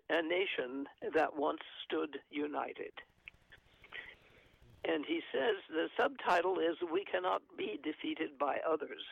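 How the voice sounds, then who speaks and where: A man with a gravelly voice talks in a halting, earnest way with many fillers, discussing and reading from an article. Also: a little high, dry recording, phone-quality sound. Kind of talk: conversational commentary, reading aloud from an article.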